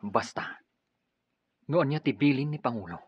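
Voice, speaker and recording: A man's voice: mid-range voice, lively intonation, unhurried, breathy edge, no echo, muffled recording. A man playing a cold, dutiful henchman, curt and unyielding, in an Ilocano radio drama. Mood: commanding. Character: cold dutiful henchman who obeys his boss.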